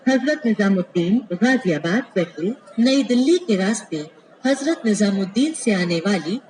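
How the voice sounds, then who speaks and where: A woman making formal, scripted railway announcements in measured, pieced-together phrases over an echoey station loudspeaker. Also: a little high, a little hiss or hum. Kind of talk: scripted, pre-recorded train announcements. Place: played over public address at a railway station.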